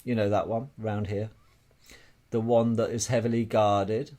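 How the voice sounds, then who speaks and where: Guy with a lowish voice explaining stuff informally, emphatic, repeats himself a lot. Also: flat delivery, a little slow, warm tone. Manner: emphatic, repetitive, rhetorical questions. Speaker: amateur presenter.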